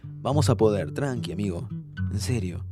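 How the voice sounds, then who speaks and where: An actor playing a calm, upbeat young Argentine man who is terminally ill and reassures his friend in casual, slangy speech, in an audio drama. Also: lowish voice, normal speed, over music, natural voice. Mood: pleading, gentle. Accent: Argentine Rioplatense. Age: young adult. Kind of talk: naturalistic audio fiction acting.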